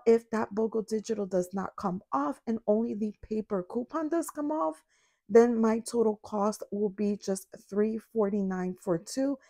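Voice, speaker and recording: A young woman recovering from a cold talks through a grocery couponing haul in a relaxed voiceover. Her voice is raspy with a gravelly fry. The recording is dry and close. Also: medium pitch, no room sound. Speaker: couponing vlogger. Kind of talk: casual shopping voiceover. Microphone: voiceover mic.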